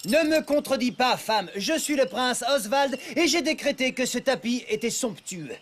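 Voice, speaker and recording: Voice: high voice, lively intonation, moderate pace, a bit bright, a little hiss or hum. An actor playing a pompous, entitled young prince in a French cartoon, imperious and self-satisfied. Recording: music behind voice.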